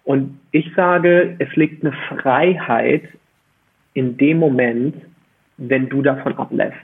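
A man's voice: medium pitch, slow, slightly rough. A man speaking as a podcast guest, explaining his views emphatically and articulately, with rhetorical questions. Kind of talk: conversational interview, explaining opinions. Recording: muffled phone-like band-limited line.

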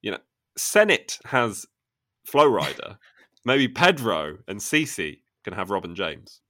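A man with a lowish voice hosts a podcast in a chatty, self-mocking way. He often restarts his sentences. The recording is dry, with no room around him. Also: sing-song, takes it slowly, sharp tone, dry recording. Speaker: podcast co-host. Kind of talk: casual podcast chat.

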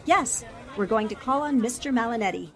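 A woman acts out a determined lady reporter in a forties radio play. Her fairly high voice is poised and polite, with a probing edge. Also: animated, a little fast. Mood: cool, coy. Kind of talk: 1940s radio drama acting.

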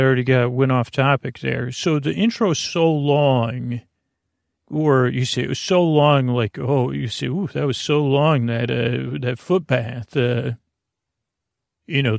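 Creaky, meandering male sleep podcast host. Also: mid-range voice, dry recording, clean studio sound. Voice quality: creaky, gravelly voice. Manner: meandering, halting, repeats himself, gently goofy. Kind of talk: rambling bedtime monologue to help listeners sleep.

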